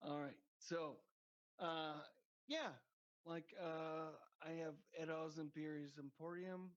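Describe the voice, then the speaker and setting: A man's voice: a little high, steady tone, slow pace. A man guesting on a podcast, joking crudely and rambling in casual chat. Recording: dull lo-fi sound.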